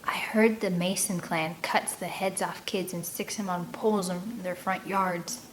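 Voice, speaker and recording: Woman acting hushed, scared young scout. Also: lowish voice, steady tone, unhurried, a little hiss or hum, lightly acted, low-quality recording. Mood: hushed dread. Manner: hushed, whispering, mumbling. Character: scared young scout children, murmuring and queasy. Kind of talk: audiobook character voices.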